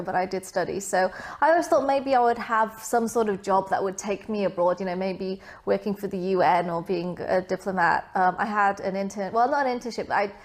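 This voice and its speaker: Young woman from vietnam answering interview qs, casual and rambly. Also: mid-range voice. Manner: chatty, many fillers and asides.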